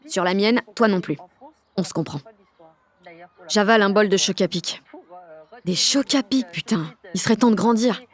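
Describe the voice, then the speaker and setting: A woman's voice: medium pitch, close to an everyday voice. An actress playing a sullen sixteen-year-old French village girl, narrating an audio drama in blunt, sardonic slang full of swearing. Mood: sullen, deadpan. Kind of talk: first-person audio fiction narration in teen slang.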